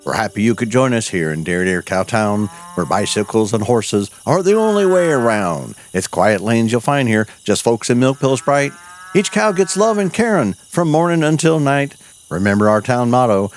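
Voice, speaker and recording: A man's voice: lowish voice, sing-song, normal speed, slight background noise. A man hosting and narrating a cowboy audio show in a folksy, homespun way.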